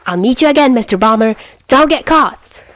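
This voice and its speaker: A woman voicing a brash, excitable young male mafioso daredevil in a fan fiction audiobook, teasing and exclamatory. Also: on the high side, moderate pace, dry recording, voice pushed up. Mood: gleeful, urgent.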